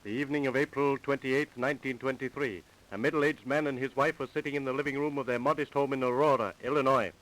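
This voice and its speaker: A man's voice: medium pitch, steady tone, fast, hardly any pauses. A man narrating a 1930s radio crime drama in a formal, scripted style. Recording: noisy vintage 1930s radio recording.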